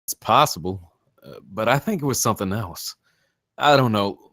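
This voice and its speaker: Low, terse, guarded man, acted at a read. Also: expressive, normal speed, fairly bright tone, dry recording, studio quality. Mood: shaken, subdued. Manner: terse, hesitant. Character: Damien, shaken, guarded male survivor of an attack. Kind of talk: film script table read.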